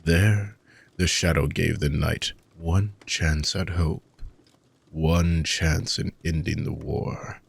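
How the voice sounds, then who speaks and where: Man voicing an ancient dark mage, very deep and gravelly. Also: steady tone, exaggerated voice. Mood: grave, intense. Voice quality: gravelly, husky. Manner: soft, deliberate, formal archaic phrasing. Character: ancient dark mage villain, weary, tender, brooding. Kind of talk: slow, intimate dramatic monologue for sleep-aid audio roleplay.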